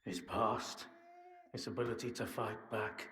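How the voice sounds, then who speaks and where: An actor playing an older male scientist in a video game, regretful and bitter, with a deliberate, sardonic, theatrical delivery. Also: lowish voice, steady tone, a bit bright. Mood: somber. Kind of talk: dramatic video game monologue. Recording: roomy echo with noise behind.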